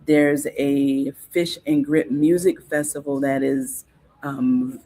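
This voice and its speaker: A woman, a guest in a casual interview, explaining things in an unhurried, informative way with frequent fillers and restarts. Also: extremely low pitch, fairly even intonation, dark tone. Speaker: guest on cultural topics.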